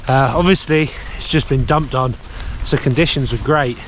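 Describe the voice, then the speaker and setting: A man's voice: mid-range voice, moderate pace, a little hiss or hum. A man in his thirties vlogging casually to an action camera held at arm's length, outdoors in a snowy mountain village, chatty and upbeat with frequent filler words. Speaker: snowboarding vlogger.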